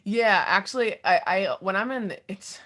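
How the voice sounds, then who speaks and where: A young woman with a low, deep voice, a singer-songwriter talking casually and candidly in an interview, thinking aloud in fragments. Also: lively intonation, unhurried, a bit bright. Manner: candid and informal, thinking aloud in fragments, often quoting own thoughts.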